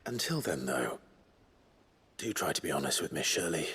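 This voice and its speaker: An actor playing a calm, kindly man who speaks gently and patiently in a video game. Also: extremely low pitch, slight background noise, heavily acted. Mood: gently amused. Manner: measured, gentle, encouraging. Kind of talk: video game dialogue acting.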